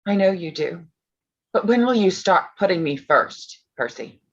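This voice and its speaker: A very low-voiced, self-possessed young woman tells a gothic story in a drama reading a woman acting. She is measured and solemn, and the sound is somewhat muffled. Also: animated, breathy edge. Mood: composed, dry. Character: young Mary Shelley, composed, defiant woman storyteller.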